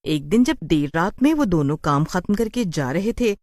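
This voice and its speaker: A woman's voice: fairly low pitch, very animated, moderate pace, a little mellow, no echo, clean studio sound. A woman reading a scripted horror story as its narrator.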